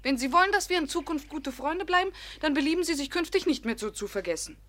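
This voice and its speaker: High-voiced, coy young woman. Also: expressive, fast, background noise. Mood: pert, teasing. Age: young adult. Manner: coy, teasing, hesitant when fibbing. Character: pert young gentlewoman posing as shy peasant girl. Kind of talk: German radio play acting.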